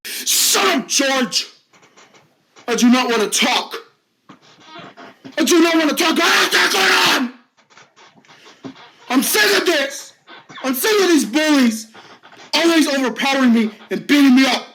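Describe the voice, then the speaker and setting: A man playing a bullied man in his fifties, shouting high and cracking into sobs, in an amateur drama. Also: animated, moderate pace, long pauses, a little hiss or hum, heavily acted, rough recording. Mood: distraught. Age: 50s. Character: bullied fifty-year-old man, desperate and tearful.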